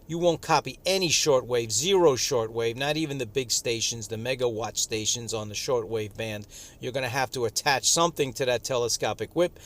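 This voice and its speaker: A man giving a casual hands-on product review outdoors, speaking in a halting, hesitant way. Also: medium pitch, a bit bright. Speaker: radio reviewer. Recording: outdoor noise, insects and traffic.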